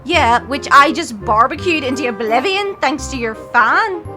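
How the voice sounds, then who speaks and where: A woman's voice: high-pitched, lively intonation, normal speed, music in the background. A young woman playing a plucky, sarcastic and incredulous student in an audio drama. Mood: sarcastic, rattled. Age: young adult.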